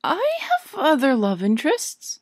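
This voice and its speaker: A woman's voice: high-pitched, wildly swooping pitch, unhurried, no room sound, clean studio sound, pitched above the performer's own voice, exaggerated voice. A woman reading an audiobook story and voicing an indignant, stubborn young witch in accusatory, incredulous outbursts.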